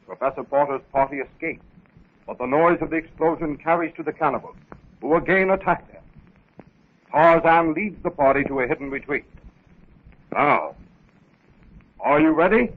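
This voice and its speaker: A man's voice: a little high, moderate pace, pauses often. A man announcing and narrating a scripted 1930s radio adventure serial. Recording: tinny, noisy 1930s radio recording.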